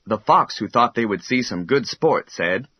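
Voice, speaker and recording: A man narrating a children's bedtime story in an expressive, measured storytelling manner. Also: mid-range voice, husky, no room sound, lo-fi sound.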